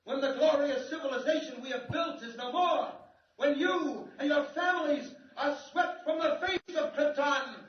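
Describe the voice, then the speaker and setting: Grave doomsday scientist, old radio drama, really high declamatory male voice, muffled hissy recording. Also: normal speed, heavy reverb, far from the mic. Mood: bitter, impassioned. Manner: solemn, declamatory, emphatic. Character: grave, impassioned alien scientist warning of doom.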